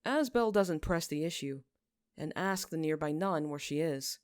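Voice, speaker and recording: A woman's voice: sits low, lively intonation, normal speed, a little mellow, dry recording, clean studio sound. A woman reading scripted story narration, in the manner of an audiobook. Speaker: fan fiction narrator.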